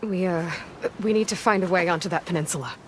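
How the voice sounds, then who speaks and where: A woman's voice: fairly low pitch, fast, close to an everyday voice. An actress as a determined young warrior woman, noisy ambience. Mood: practical, focused. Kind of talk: video game cutscene acting.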